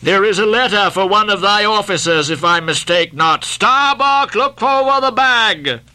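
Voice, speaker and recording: Male narrator as old whaling skipper, forceful, high-pitched for a man, imperious, archaic speech, storybook drama. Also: lively intonation, normal speed, smooth, dry recording, heavily acted. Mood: commanding. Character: stern, commanding old male whaling captain. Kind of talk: dramatic audiobook character voice.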